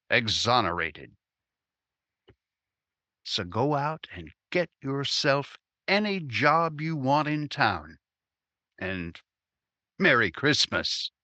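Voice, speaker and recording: A man's voice: medium pitch, pushed and bright, dry recording, exaggerated voice. A man playing a crusading, hard-nosed newspaper reporter in a 1950s-style radio drama, with emphatic, punchy delivery. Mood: gentle, triumphant.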